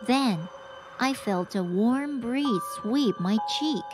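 A woman voicing a frightened schoolgirl who narrates her own night of terror in an animated horror story. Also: a little high, slow, music in the background. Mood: uneasy. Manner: hushed, breathy fear rising to screams.

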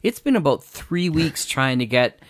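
A man's voice: on the high side, very animated, moderate pace, no room sound. A man, co-hosting a radio drama podcast in casual chat.

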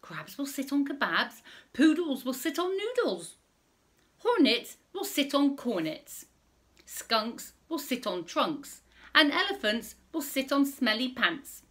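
Storybook frog, boastful and cheeky, high and lively, slow sing-song rhymes, woman putting him on. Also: heavily acted. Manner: slow, singsong rhyming delivery. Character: boastful rhyming male frog.

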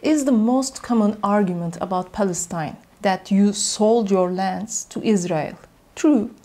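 A woman's voice: medium pitch, a little slow, warm tone. A woman in her thirties with a Turkish accent, hosting an interview with prepared questions and presenting. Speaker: interview host. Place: dark studio, indoors.

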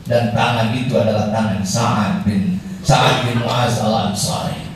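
A middle-aged man with an Indonesian accent giving a formal, measured and emphatic Friday sermon with Arabic recitation. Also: fairly low pitch, fairly even intonation. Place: indoor mosque prayer hall. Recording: very echoey hall, distant, faint noise.

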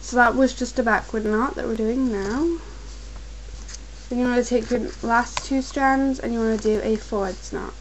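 Young woman tutor, getting a cold, calm and repetitive, recorded on a computer mic at her desk. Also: a little high, a little hiss or hum, low-quality recording. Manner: patient, repetitive instructions, slow and careful at times. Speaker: crafts tutorial maker. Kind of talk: step-by-step craft instruction.

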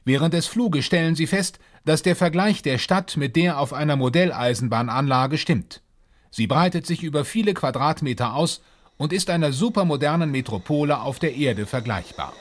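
A man narrating a scripted science-fiction adventure in a measured, formal storytelling manner. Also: fairly high pitch, lively intonation, lightly acted.

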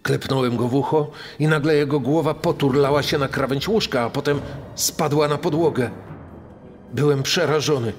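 A man's voice: a little high, moderate pace, faint music behind, close to an everyday voice. An actor playing a male prison guard giving testimony in a dramatised true crime story. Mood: sober recollection. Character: male prison guard recalling a shocking morning. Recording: long reverberant hall echo.

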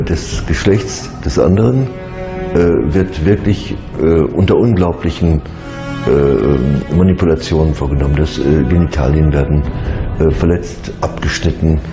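Man, extremely low voice, halting speech, expert interview, roomy echo. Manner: halting, hesitant, searching for words. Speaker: expert commentator. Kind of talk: unscripted interview commentary. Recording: roomy echo, music behind. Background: background music.

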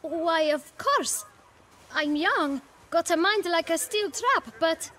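A woman's voice: very high-pitched, expressive, takes it slowly, pushed and bright, exaggerated voice. A woman playing a cheeky, chatty young street urchin boy in a video game, with mock-professional shoeshine patter. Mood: chatty, proud. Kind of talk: video game dialogue acting.

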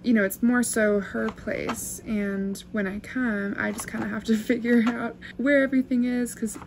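A woman's voice: on the high side, fairly even intonation, moderate pace, vocal fry, background noise. A young woman vlogging casually in a small wooden cabin, chatty and self-reflective, often laughing as she talks. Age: young adult. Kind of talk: casual vlog chat.

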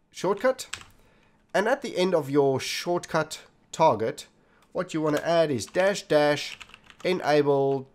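A man hosting a tech tutorial, giving step-by-step instructions straight to viewers as he demonstrates on his computer. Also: on the high side. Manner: instructional, addresses viewers directly. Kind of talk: scripted-feeling screen tutorial narration, step by step. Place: indoors at a desktop computer, voice recorded while demonstrating. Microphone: desktop computer microphone.

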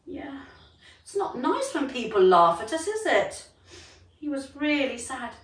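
A middle-aged woman with a high, very lively voice leads children through actions and stories. She is encouraging and exclamatory, and talks slowly. She is recorded from a distance in an echoey room. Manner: encouraging, exclamatory, addresses young children directly. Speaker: children's drama teacher and storyteller. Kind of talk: animated children's drama class, story time with actions. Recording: roomy echo, distant microphone, music.

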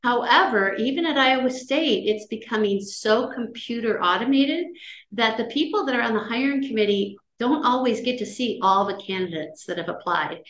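A middle-aged woman with a loud voice giving a casual career-services talk, chatty and conversational, often checking in with her listeners. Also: fairly high pitch, normal speed. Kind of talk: casual lecture on job searching. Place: office, indoors, on a video call. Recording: roomy video-call audio.

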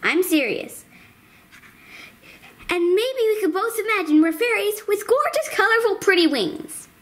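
A woman's voice: very high voice, very animated, a little slow, fairly bright tone, heavily acted. A woman voicing a bubbly, girlish, imaginative hand puppet host in a children's show, with an exaggerated singsong delivery. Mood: eager, explaining.

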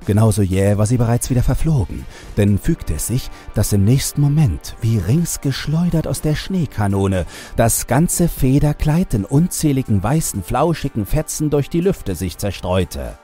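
A man narrating a horror audiobook in a theatrical, deliberate literary storytelling manner. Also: medium pitch, animated, mellow, faint music behind.

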